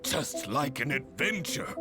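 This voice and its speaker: A hearty adventurer chief in a video game. He orates slowly and grandly, with big swings in pitch and pompous enthusiasm. Music runs behind the voice. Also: very animated. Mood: rousing. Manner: theatrical, grandiloquent, rambling. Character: hearty, long-winded male adventurer leader, pompous and enthusiastic. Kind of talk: video game character acting. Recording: background music under the voice.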